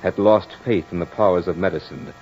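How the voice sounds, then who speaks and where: Deep-voiced brooding nobleman, vintage radio horror. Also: brisk, dark tone. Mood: helpless dread. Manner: formal, grave, theatrical delivery. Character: brooding French nobleman husband, narrating his tale of dread. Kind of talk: old-time radio horror acting, dramatic first-person narration. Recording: muffled old radio recording with music.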